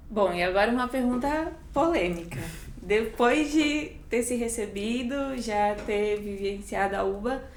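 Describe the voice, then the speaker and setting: A woman interviewer asking questions and affirming the guest with short acknowledgements. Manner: brief affirming backchannels. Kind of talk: casual interview. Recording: echoey room, faint rumble.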